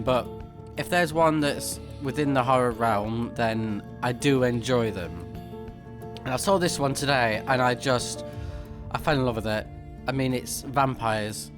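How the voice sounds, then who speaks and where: A man's voice: mid-range voice, a bit bright. A man recording a let's play, switching between casual off-the-cuff commentary and reading game text aloud. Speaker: let's player, game streamer.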